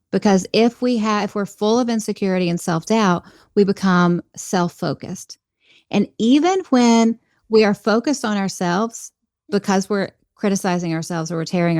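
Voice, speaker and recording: A woman's voice: medium pitch, darkish tone, dry recording, studio quality. A middle-aged woman speaking as a podcast guest, talking in an earnest, reflective way about faith and leadership. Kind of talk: conversational interview.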